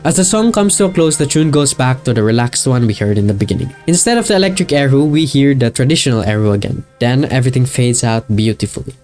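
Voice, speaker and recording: A man's voice: medium pitch, lively intonation, quick pace, hardly any pauses, a little mellow. A man narrating a video essay in a casual, enthusiastic and informal way. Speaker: video creator. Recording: music behind the voice. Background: music with guitar.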